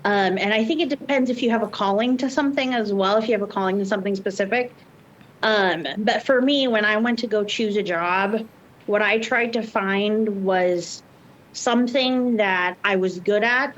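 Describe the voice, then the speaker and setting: A young woman from Indonesia speaks as a podcast guest in a mid-pitched voice. She talks casually and a bit hesitantly, with a faint rumble behind her. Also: normal speed, dull audio. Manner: hesitant, many fillers and restarts.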